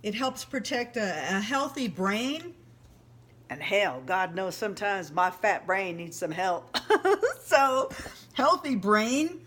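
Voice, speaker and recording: A woman's voice: mid-range voice, very animated, takes it slowly, a bit bright. A woman in her fifties chatting casually and rambling a little while she shows a dish in her home kitchen, laughing often. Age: 50s. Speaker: home cooking vlogger. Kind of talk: casual cooking demo talk.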